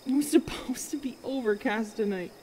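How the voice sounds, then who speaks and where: A woman playing a huge, self-loathing young werewolf girlfriend in an ASMR roleplay, halting and emotional, sometimes dropping to a whisper. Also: a little high, mellow, slight background noise, lightly acted. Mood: bitter confession. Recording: cricket and night ambience behind.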